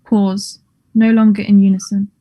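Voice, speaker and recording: A woman's voice: mid-range voice, level tone, moderate pace, dark tone, poor audio. A woman reading stage directions as scripted narration.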